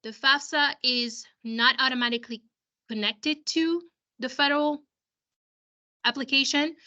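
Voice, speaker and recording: A woman school counselor who is losing her voice, explaining a software walkthrough to parents in an explanatory way, often restarting her sentences, over a video call. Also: sits high, unhurried, dull audio.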